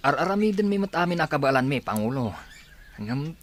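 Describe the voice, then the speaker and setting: An actor playing a worried, hesitant and deferential member of a small group in an Ilocano radio drama. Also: male voice, fairly high pitch, big pitch swings, brisk, a little hiss or hum. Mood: worried, pleading.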